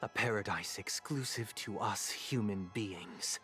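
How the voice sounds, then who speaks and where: An actor playing a smug, grandiose video game villain who speaks in formal, theatrical oratory. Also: male voice, on the low side, measured pace, bright, forceful tone. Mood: solemn, composed. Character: smug, grandiose male villain preaching order and paradise. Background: background game music.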